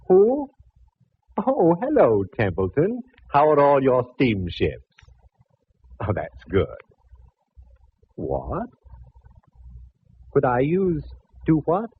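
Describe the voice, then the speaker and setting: An actor playing a fussy, genteel, affectionate gentleman in a vintage radio detective drama, speaking effusively with endearments. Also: fairly high pitch, slightly rough, exaggerated voice. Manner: effusive, fussy, calls people dear and love. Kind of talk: 1940s-50s radio detective drama acting. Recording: muffled, noisy vintage radio recording.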